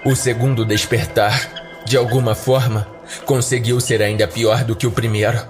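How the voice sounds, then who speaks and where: Um homem com sotaque brasileiro narrando uma história de terror em primeira pessoa, de modo pausado e dramático. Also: male voice, medium pitch, little pitch movement, over music. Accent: Brazilian. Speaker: horror podcast narrator. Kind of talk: scripted first-person horror narration.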